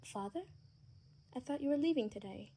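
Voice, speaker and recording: A woman playing a gentle, sheltered young vampire daughter in an ASMR audio roleplay, soft and given to whispering. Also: sits high, expressive, normal speed, breathy edge, exaggerated voice. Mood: gentle, resigned.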